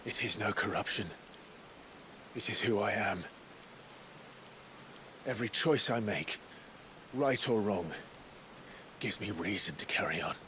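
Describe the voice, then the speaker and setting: An actor playing a defiant, determined hero in a fantasy video game, with a gritty, resolute and emphatic delivery. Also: male voice, fairly low pitch, bright, forceful tone. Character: defiant, determined heroic man standing against his oppressor. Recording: rain and hiss behind.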